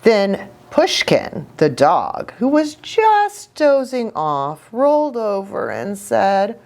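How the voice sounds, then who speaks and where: Lady reading a kids book out loud, super animated, takes her time, chatty asides. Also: medium pitch, takes it slowly. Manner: expressive, chatty asides to children. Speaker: children's ministry storyteller. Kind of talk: read-aloud storytelling for young children.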